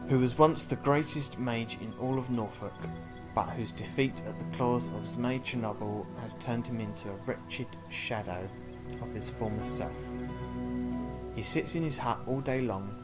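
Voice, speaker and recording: A man with a fairly low voice does a let's play. He reads the on-screen text aloud and drops in casual remarks as he thinks his choices through. Music plays clearly behind him. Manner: thinking aloud between read passages. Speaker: video game player and commentator.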